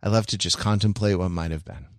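A man's voice: low-pitched, quick pace, dry recording, studio quality. A man hosting a podcast in a casual, humorous chat, rambling wryly through mock-earnest digressions. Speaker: podcast host.

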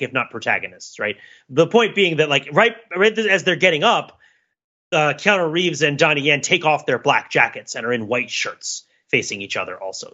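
A man's voice: medium pitch, sharp tone, lo-fi sound. A man co-hosting a podcast, talking through a film in a casual, rambling, analytical way. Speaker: podcast co-host.

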